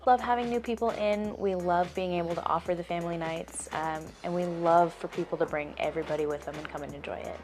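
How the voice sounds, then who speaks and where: A woman's voice: lowish voice, fairly even intonation, fast, few pauses, a little mellow, soft background music. A woman on a museum staff explaining family activities in an interview, friendly, with fillers. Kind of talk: interview answers.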